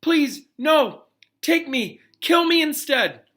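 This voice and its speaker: A man's voice: extremely high pitch, animated, slow pace, a bit bright, pitched above the performer's own voice, heavily acted. A man voicing a desperate mother screaming and pleading for her child's life in a storybook reading. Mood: anguished, pleading. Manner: anguished, screaming pleas.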